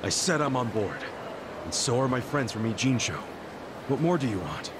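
An actor playing a defiant, cocky, big-hearted gangster hero in a video game cutscene, brash and informal. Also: male voice, mid-range voice, expressive, normal speed, background noise, close to an everyday voice. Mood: defiant, committed.

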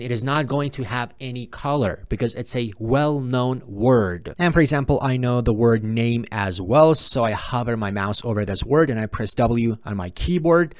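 A man, a native Persian speaker with a Persian accent, presenting a step-by-step software tutorial in an informal, explanatory and repetitive manner. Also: medium pitch, no echo, clean studio sound. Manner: explanatory, repetitive, posing viewers' questions and answering them. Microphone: close mic, screen-recording voice-over.

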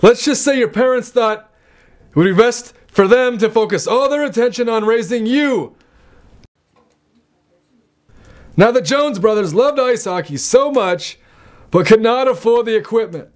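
A man's voice: extremely high pitch, expressive, normal speed. A young man playing an exasperated old grandpa, theatrical and admonishing, telling a bedtime story in a comedy sketch. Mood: storytelling.

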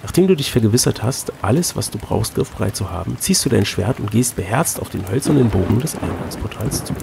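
A man reading an adventure gamebook aloud as host, switching between expressive narration and casual chat. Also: lowish voice, brisk, creaky, a little hiss or hum.

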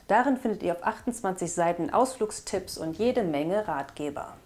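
A woman's voice: on the low side, lively intonation, normal speed, very noisy. A young woman presenting scripted local news as host, recorded indoors on a lapel mic. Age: young adult. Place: indoor studio with blue backdrop.

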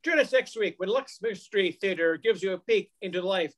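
An older man narrates a radio mystery from a script. His voice is high for a man. The delivery follows old-time radio storytelling, captured on a video call. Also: a little fast. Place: home room, video call. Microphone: computer mic on a video call.

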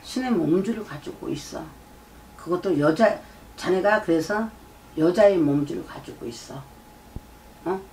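A middle-aged woman speaks as a shaman giving a reading in a low, lecturing voice. She circles back over her points and keeps checking in with "eh?". The camera mic sits away from her, with a faint hum in the room. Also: animated, measured pace, long pauses, dark tone, far from the mic. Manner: lecturing, repeats herself, prompts with "eh?". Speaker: shaman fortune teller. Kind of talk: fortune-telling consultation. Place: shrine room with folding screen and drum. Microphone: far camera.

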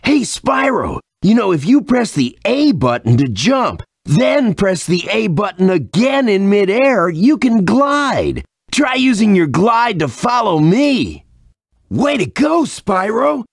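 An actor playing an eager, helpful small creature guide in a video game, with bouncy, drawn-out delivery. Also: male voice, high-pitched, sing-song, vocal fry, breathy edge, dry recording, clean studio sound, exaggerated voice. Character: eager, helpful small creature guiding the young dragon hero.

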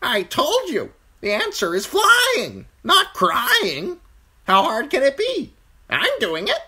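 A high, thin, piercing crow, scolding and impatient. It swoops and shrieks insistently, as in a fantasy audiobook. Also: male voice, wildly swooping pitch, slow, bright, forceful tone, heavily acted.

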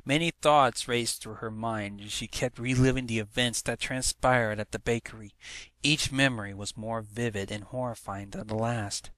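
A man's voice: medium pitch, moderate pace, a bit bright, dry recording. A man reading a story aloud as a dramatic scripted narration. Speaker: fan fiction narrator.

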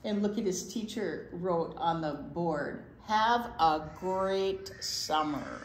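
A woman's voice: on the low side, takes it slowly. An older woman reading a picture book aloud to children expressively, with chatty asides. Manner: expressive, animated. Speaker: children's library storytime reader. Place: indoor library storytime room. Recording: echoey room with background noise.

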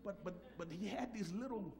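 Middle-aged American male preacher, high voice, folksy emphatic storyteller, live church with audible congregation. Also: moderate pace, a crowd in the background. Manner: emphatic, repeats phrases, folksy. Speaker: pastor. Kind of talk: animated sermon with personal storytelling. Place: church sanctuary, at a pulpit. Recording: live church room with audience reactions. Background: congregation reacting.

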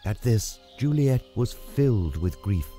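A man narrating a scripted story with dramatic, deliberate enunciation. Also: on the low side, expressive, dark tone, faint music behind.

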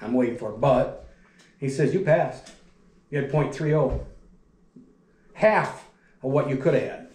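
An older man spins plain-spoken stories about his years on the factory floor, wandering through details and letting his voice sink low at the ends of thoughts. He sits at a dining room table, picked up by a camera across from him, and the room lends an echo to his mid-pitched voice. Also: unhurried, pauses often, slight background noise. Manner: rambling, folksy, restarts and trails off quietly. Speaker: retired factory welder telling stories. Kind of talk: casual storytelling. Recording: echoey room.

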